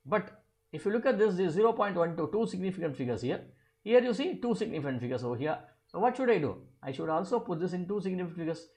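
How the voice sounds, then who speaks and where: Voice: medium pitch, creaky. A physics teacher walking through an exam problem step by step, asking and answering their own questions and repeating key phrases.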